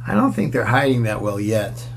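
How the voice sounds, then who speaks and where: A man in his sixties reading a picture book aloud to children, playful and expressive, with casual asides as he goes. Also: on the low side, moderate pace, slight background noise. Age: 60s. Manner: expressive, playful, inviting listeners along. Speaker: children's storyteller. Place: small room at home, indoors.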